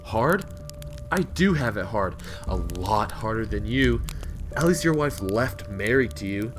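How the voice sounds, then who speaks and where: Audio fiction antagonist teammate: man acting a bitter, bossy hard case, low-pitched, music underneath. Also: animated, unhurried. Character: Jack, bossy, bitter, hard-edged divorced survivor. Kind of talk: amateur audio fiction dialogue.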